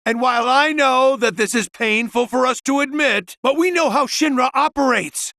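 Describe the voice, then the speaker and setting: A man's voice: very high-pitched, normal speed, no echo, clean studio sound. An actor playing a fiery male resistance leader in a video game, delivering an impassioned, rousing speech to his rebels. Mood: fiery, defiant. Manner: impassioned shouted oratory.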